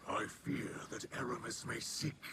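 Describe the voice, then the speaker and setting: A man playing a noble alien elder and leader in a video game, speaking gravely and formally in halting phrases. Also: medium pitch, little pitch movement, very noisy, lightly acted. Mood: grave, solemn. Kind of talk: video game character acting. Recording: loud game music behind.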